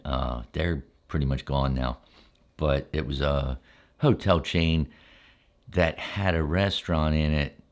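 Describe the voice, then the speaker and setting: Very deep-voiced older man, relaxed ASMR storyteller. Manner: unhurried, halting phrases with folksy asides. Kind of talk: relaxed, casual ASMR reminiscing.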